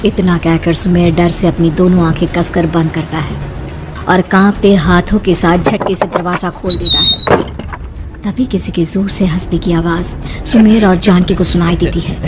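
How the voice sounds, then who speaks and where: Lady narrator, lowish voice, dramatic scary storytelling, music clearly behind her. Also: sing-song, moderate pace.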